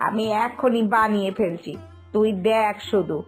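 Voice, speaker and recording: A woman's voice: medium pitch, unhurried, soft background music, close to an everyday voice. A woman voicing a lazy, slovenly aunt in a cartoon family story, breezy and chatty, scoffing at fuss. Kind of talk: animated Bengali family story dialogue.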